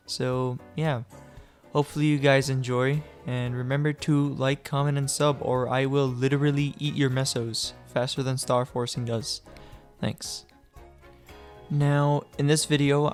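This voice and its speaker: A young man narrating a casual game tutorial, chatty and joking, with loose fillers, a little sick. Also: mid-range voice. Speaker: gaming guide creator.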